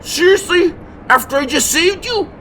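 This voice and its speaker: Cranky high-voiced brother, acted by a man, animated. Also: moderate pace, a little hiss or hum, heavily acted, low-quality recording. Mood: exasperated. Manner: exasperated, sarcastic. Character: cranky, sarcastic brother of a monster hunter. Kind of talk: comedy horror audio drama acting.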